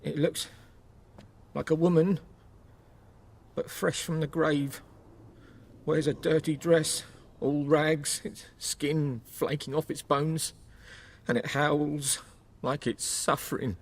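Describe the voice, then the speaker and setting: An actor playing an anxious, superstitious village father in a video game, with a husky voice and rustic, earnest phrasing. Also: a little high, steady tone, takes it slowly, long pauses, big-room sound. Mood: fearful.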